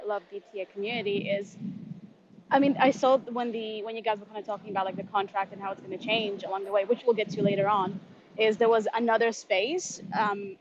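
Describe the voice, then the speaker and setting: Woman on dull, slightly noisy audio. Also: mid-range voice. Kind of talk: casual chat. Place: live online audio group chat. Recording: dull lo-fi online audio, audible noise.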